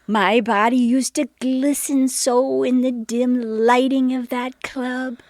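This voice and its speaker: A woman's voice: high-pitched, fairly even intonation, slow pace, darkish tone, no echo, clean studio sound. An actress playing an elderly woman who reminisces wistfully and theatrically about her racy youth in a comedy sketch. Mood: dreamy, coy.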